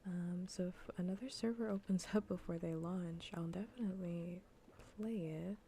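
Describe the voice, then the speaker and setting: Soft, breathy, low-pitched woman streamer. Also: normal speed, slight background noise. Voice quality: soft, breathy, often near-whispered. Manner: casual, rambling, repeats phrases. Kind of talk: soft-spoken ASMR gaming stream chat.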